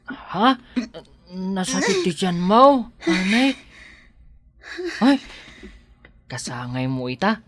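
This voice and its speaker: A woman's voice: medium pitch, very animated, slow pace, pauses often. A woman playing an anxious, devoted young husband and expectant father in an Ilocano radio drama, nervous and exclamatory. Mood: alarmed.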